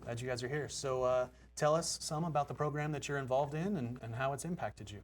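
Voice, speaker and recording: A man's voice: medium pitch, a little fast. A man hosting a studio interview on public television, polite and welcoming. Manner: polite, friendly. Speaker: TV host.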